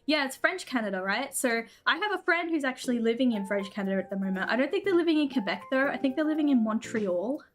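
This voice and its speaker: A woman's voice: sits high, expressive, fast talker, few pauses. A woman with an Australian accent chatting casually with her viewers while streaming a game, laughing often.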